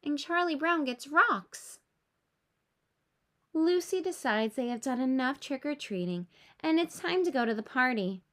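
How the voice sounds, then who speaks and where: A woman reading a children's story aloud with expressive narration aimed at young listeners. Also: high-pitched, a little slow. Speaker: storybook reader.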